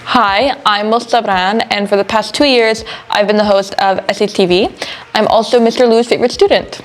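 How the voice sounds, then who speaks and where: Relaxed teenage girl, student host. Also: mid-range voice, fairly quick, music in the background. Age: late teens. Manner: relaxed, thoughtful, with frequent "you know" fillers. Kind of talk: interview answers.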